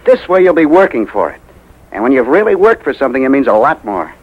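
An American actor playing a kindly, reassuring building superintendent in a 1950s radio drama. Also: male voice, medium pitch, sing-song, fast talker, breathy edge, muffled recording. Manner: gentle, reassuring. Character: kindly building superintendent, encouraging a little girl. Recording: noisy 1950s radio broadcast.

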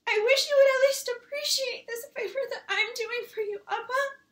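Woman acting a very high-pitched, exasperated, hurt daughter. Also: moderate pace, fairly bright tone. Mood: indignant, tearful. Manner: pleading, indignant, swelling emphatic delivery. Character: hurt, exasperated adult daughter confronting her shopkeeper father. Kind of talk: emotional acted monologue, self-taped audition. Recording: echoey room.

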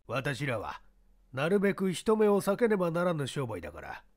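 Man as a shrewd, lively-voiced baseball scout. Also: fairly high pitch. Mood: self-assured. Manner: measured, explanatory, worldly. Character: shrewd, self-assured baseball scout under police questioning. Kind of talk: radio-drama style mystery reading.

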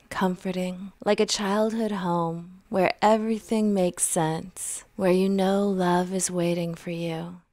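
A woman narrating a scripted guided meditation in a gentle, soothing, unhurried manner, softly trailing off at the ends of phrases. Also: on the low side, no echo.

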